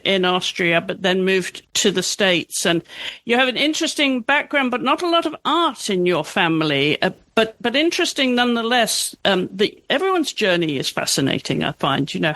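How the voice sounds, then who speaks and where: A woman's voice: on the low side, no echo. Chatty woman host, dry sound. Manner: warm-up chatter, rambling, self-correcting. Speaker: podcast host. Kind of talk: interview hosting.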